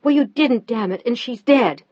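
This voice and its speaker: A woman voicing a devoted wife who talks gently but firmly to her husband. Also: medium pitch, animated, moderate pace, breathy edge. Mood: heated. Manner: gentle but firm, rising when pushed. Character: devoted, pleading wife of a surgeon. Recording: dull, dry lo-fi recording.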